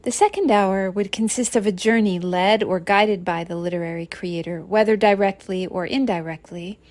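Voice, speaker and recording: A woman giving a prepared, measured spoken answer as a contributor to an academic program. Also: medium pitch, fairly even intonation, a little mellow, dry recording. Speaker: contributor answering a question on African studies.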